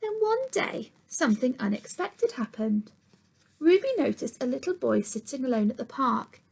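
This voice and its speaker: A woman reading a picture book aloud like a teacher to young children, expressive, sometimes dropping to hushed tones and putting questions to her listeners. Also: high voice, normal speed, gravelly, husky, rough recording. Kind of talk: children's storytime read-aloud.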